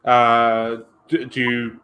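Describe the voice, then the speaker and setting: A male podcast host with an upbeat, chatty manner. His voice sits at a middling pitch, and he sometimes stretches his words out as he talks. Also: monotone, drawn-out words, a bit bright. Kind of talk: casual chat.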